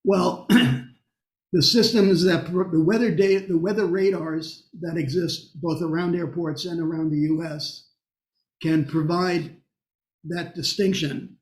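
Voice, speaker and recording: A man giving a technical presentation and answering questions in a halting, explanatory way, often pausing mid-sentence. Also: on the high side, fairly even intonation, reverberant, rough recording.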